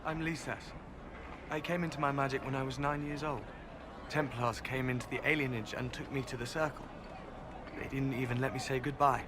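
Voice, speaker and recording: A man's voice: mid-range voice, fairly even intonation, fairly quick, close to an everyday voice. A man playing an earnest, aggrieved young elven rebel mage in a fantasy game. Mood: troubled, aggrieved. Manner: earnest, indignant. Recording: noisy ambience behind the voice.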